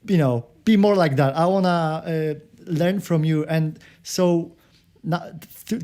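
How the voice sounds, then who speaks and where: A man from Poland with a Polish accent, hosting a casual interview in a halting way, often repeating and restarting his words. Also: on the high side, a little mellow. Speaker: podcast host.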